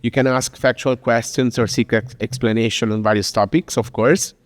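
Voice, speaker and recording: A man's voice: medium pitch, steady tone, normal speed, no echo, big-room sound. A man from Italy with an Italian accent, giving a chatty, informal presentation in English with many fillers and restarts. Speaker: speaker at a business talk. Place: venue in Lisbon.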